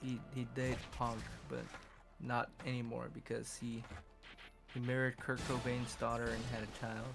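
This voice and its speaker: A man's voice: mid-range voice, completely flat delivery, moderate pace, gravelly, music in the background. A man with a Californian English accent chatting casually while co-streaming a game, rambling and cursing freely. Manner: rambling, profane, loose. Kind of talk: casual gaming chat.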